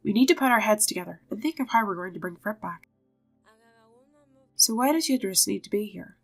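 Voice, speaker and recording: A woman's voice: medium pitch, animated, fairly quick. Female game-dialogue reader, mid-range voice, light music underneath. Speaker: video game channel creator reading quest dialogue. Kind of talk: reading game dialogue aloud in character.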